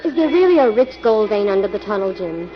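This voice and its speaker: A woman's voice: medium pitch, sing-song, quick pace, faint music behind, close to an everyday voice. An actress playing the hero's curious, admiring female assistant in 1940s radio drama style. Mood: bright, inquisitive. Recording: muffled old radio broadcast recording.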